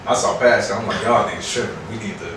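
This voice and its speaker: An American man with a fairly low voice speaks as a guest in a casual interview. His talk is slangy and candid. The recording is very roomy and distant, with clear background noise. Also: quick pace. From Chicago, US. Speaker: interview guest, rapper and producer. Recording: very echoey, distant mic, noisy background.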